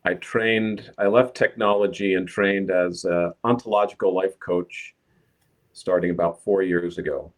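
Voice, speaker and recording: A man from the United States, a guest life coach explaining his work calmly on a video call. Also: low-pitched, measured pace. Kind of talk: explanatory chat.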